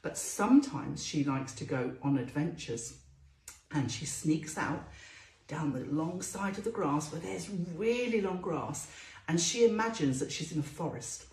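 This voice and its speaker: Female children's storyteller, very lively sing-song delivery, drawn-out key words, roomy echoing recording. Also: sits low. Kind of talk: storytelling to young children with questions and phonics.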